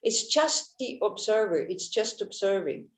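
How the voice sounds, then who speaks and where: A woman whose first language is Swiss German gives a Buddhist teaching in a gentle manner, often restarting and searching for words. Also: medium pitch, very animated, a little slow. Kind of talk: Dharma teaching talk. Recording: echoey room.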